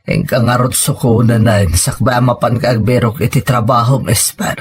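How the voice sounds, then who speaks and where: Man playing a husky, ailing Filipino husband. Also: mid-range voice, fairly even intonation, normal speed. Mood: plaintive. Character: ailing husband and father weakened by stroke. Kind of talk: Ilocano audio drama acting. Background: music.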